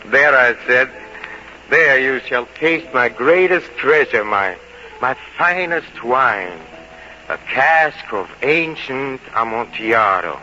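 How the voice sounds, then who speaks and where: An obsessed murderer in a 1940s-style radio play, confessing with tormented relish. He speaks very slowly and haltingly, circling back on his words, in a muffled old recording with music clearly behind him. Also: mid-range voice, lively intonation. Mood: feverish, gloating. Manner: halting, repeating words, theatrical. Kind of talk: 1940s radio drama monologue. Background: dramatic music.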